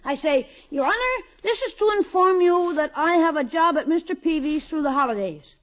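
A woman playing an eager, brash schoolboy in a 1950s radio comedy, excitable and slangy. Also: sits high, moderate pace. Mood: brisk, self-important. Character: eager, brash schoolboy nephew hustling for a job. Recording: dull vintage 1950s radio recording.

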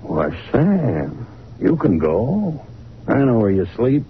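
An actor playing a gruff, matter-of-fact police detective in a 1940s radio noir drama, blunt and plain-spoken. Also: male voice, medium pitch, wildly swooping pitch, slow pace. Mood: dry, needling. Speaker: police officer character. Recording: tinny, noisy old radio recording.

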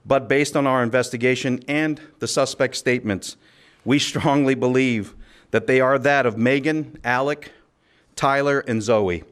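A man speaking as a sheriff at a press conference, delivering a formal statement in a measured, official, occasionally halting way. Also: mid-range voice. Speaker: county sheriff.

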